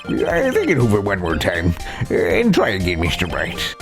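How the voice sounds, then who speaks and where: A woman's voice: a bass voice, wildly swooping pitch, moderate pace, over music, exaggerated voice. A woman voicing a stern male judge in a courtroom game, speaking formally with some hesitation. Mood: admonishing. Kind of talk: amateur voiced playthrough of courtroom game script.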